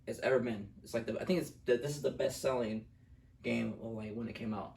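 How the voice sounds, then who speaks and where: A man's voice: medium pitch, steady tone, brisk, creaky, a little mellow. A young man in a casual, profane movie review chat, blunt, opinionated and joking. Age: young adult. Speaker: co-host of a movie review show. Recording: roomy echo, distant from the mic.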